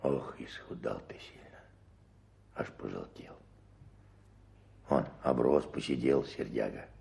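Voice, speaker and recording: A man's voice: a bass voice, slow, gravelly, warm tone, exaggerated voice. An actor playing a sympathetic, cautious older country man in a radio drama, muttering in folksy phrases. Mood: pitying. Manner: folksy, muttering, sighing interjections. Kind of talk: Russian radio drama acting.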